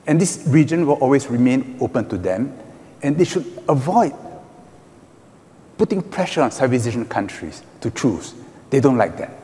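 A man on a conference panel, arguing his points in a measured, emphatic way with hand gestures. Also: medium pitch, big pitch swings, mellow. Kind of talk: panel discussion. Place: large conference hall stage. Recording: reverberant conference hall.

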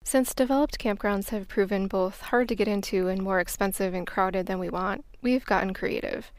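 A woman's voice: mid-range voice, moderate pace, dry recording. American woman narrating, close dry sound. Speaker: podcast host. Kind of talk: scripted travel storytelling narration.

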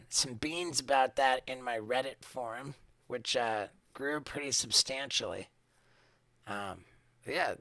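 A man hosting a podcast in casual chat, excitable and swearing, stammering through restarts, talking into a dynamic mic. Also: medium pitch, no room sound. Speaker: podcast host. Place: small cruise ship cabin, then home studio. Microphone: handheld dynamic mic, then desk podcast mic.

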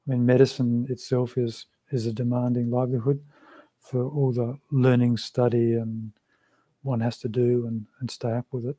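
A man, answering interview questions in a measured, thoughtful way over a video call from a small room. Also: medium pitch, flat delivery, warm tone, dry recording. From Australia. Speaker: interview guest, Buddhist practitioner. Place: small room with a shrine, indoors.

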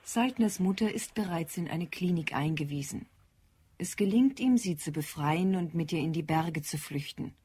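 A woman's voice: lowish voice, normal speed, mellow, breathy edge. A woman narrating a radio play with composed, factual scripted delivery. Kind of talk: scripted narration, plot summary.

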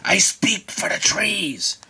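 A man voicing an oldish, bossy, indignant little forest creature from a storybook, sharp and scolding. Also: high voice, big pitch swings, slow pace, husky, voice pushed up, heavily acted. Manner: scolding, emphatic.

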